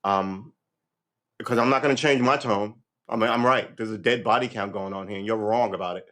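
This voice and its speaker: A man in his fifties in a rambling, reflective monologue, full of restarts and "you know", and his pitch jumps when he gets worked up. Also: brisk, a little hiss or hum. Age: 50s. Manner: lively pitch swings when animated. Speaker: vlogger. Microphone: livestream setup.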